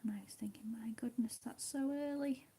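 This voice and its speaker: Soft-spoken woman, half-whispering. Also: on the high side, a little fast, husky. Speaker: livestream host. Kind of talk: casual chat while colouring.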